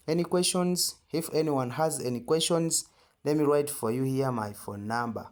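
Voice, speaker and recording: A man with a Ugandan accent giving an informal step-by-step tutorial, emphatic and repetitive, often checking in with short questions. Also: mid-range voice. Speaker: trading tutor.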